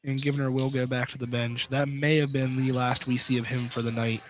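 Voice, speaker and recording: A man's voice: mid-range voice, flat delivery, a little fast. A man calling college basketball live on the radio, chatting conversationally and repeating phrases as he goes. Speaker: basketball play-by-play commentator. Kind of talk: live radio sports commentary with casual chat. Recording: noisy live sports broadcast with clicks.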